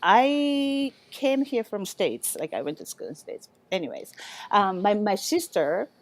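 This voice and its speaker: A middle-aged woman from Japan, a chef speaking as a guest in a relaxed interview, halting and often restarting her sentences. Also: medium pitch. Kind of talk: casual interview chat.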